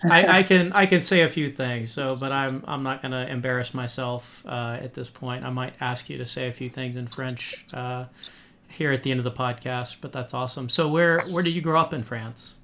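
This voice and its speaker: A man's voice: mid-range voice, steady tone, moderate pace, pushed and bright, slight background noise. Friendly man hosting a podcast. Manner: friendly, many fillers and restarts. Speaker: podcast host. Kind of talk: casual interview.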